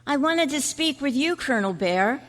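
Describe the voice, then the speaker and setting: A woman's voice: sits high, expressive, moderate pace. A woman playing an earnest boy, echoey hall. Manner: chatty, boyish slang. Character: earnest, nostalgic fourth-grade boy. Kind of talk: old-time radio style stage acting. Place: large indoor room at a convention. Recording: echoey hall at a club meeting.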